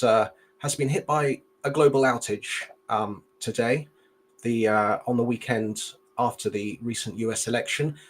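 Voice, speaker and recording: A man's voice: mid-range voice, slight background noise. A man hosting a live stream interview, polite and formal, with occasional fillers. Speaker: host.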